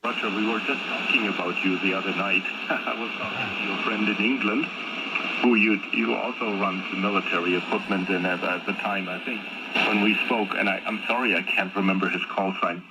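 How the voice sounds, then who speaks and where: Noisy HF radio reception, man, very low voice, casual ham operator talk, wordy, jargon. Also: steady tone, a little fast. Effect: shortwave radio transmission. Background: radio static noise.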